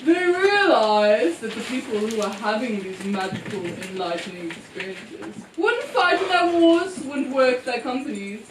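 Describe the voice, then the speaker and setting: A woman voicing an excitable, defiant magic mushroom puppet with theatrical, drawn-out delivery. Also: on the high side, noisy background. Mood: indignant. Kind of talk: puppet show acting. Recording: distant, echoey live show bootleg with music.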